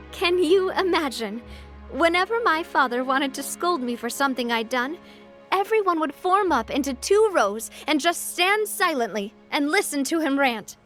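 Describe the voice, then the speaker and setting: A woman's voice: high voice, expressive, a little slow. A woman voicing a cheerful, confident young noblewoman who laughs easily and turns wistful when reflecting, in a video game. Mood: reflective. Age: young adult. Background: soft game music.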